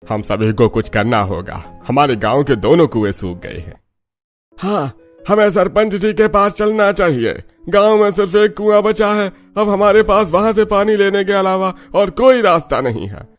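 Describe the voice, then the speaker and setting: A man's voice: high voice, expressive, moderate pace, soft background music. A man voicing hearty, plain-spoken older village men in a cartoon story, with emphatic delivery. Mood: worried, urgent. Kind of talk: Hindi cartoon story dialogue.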